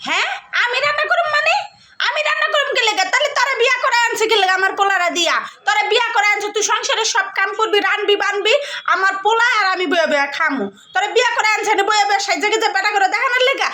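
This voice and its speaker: A woman's voice: very high-pitched, rapid-fire, pushed and bright. A woman playing a domineering, melodramatic old mother-in-law in a comedy skit, nagging and wailing. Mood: scolding. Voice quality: whiny wailing scolding. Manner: nagging, melodramatic crying fits.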